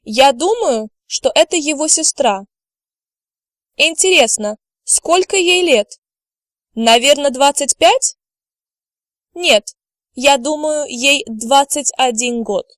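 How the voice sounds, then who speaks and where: A woman teaching a language lesson over slides, speaking slowly and carefully and modelling words for learners to repeat. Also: high-pitched, lively intonation, a bit bright, dry recording, studio quality. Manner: slow, careful delivery, models words for repetition. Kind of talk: slide lecture.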